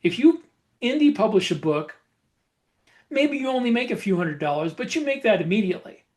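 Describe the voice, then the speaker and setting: A man's voice: high voice, very animated, fairly quick, a little mellow. A man co-hosting a podcast, explaining things in a casual, matter-of-fact way. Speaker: podcast co-host, indie author. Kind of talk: casual advisory chat.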